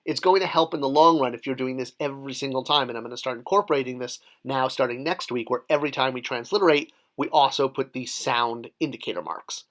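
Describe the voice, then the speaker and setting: A man of middle pitch gives a casual drawing lesson, explaining each symbol step by step. He keeps it friendly and pokes fun at his own drawing and pronunciation. The delivery is relaxed, like a teacher talking over his work on paper. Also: fast, few pauses. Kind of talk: casual tutorial.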